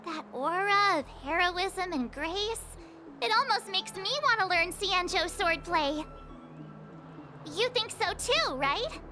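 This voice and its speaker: A woman's voice: very high-pitched, expressive, slow pace, sharp tone, heavily acted. A woman voicing a perky, chatty teenage girl adventurer in an anime-style video game, over music. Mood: chatty, admiring. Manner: chatty, playful.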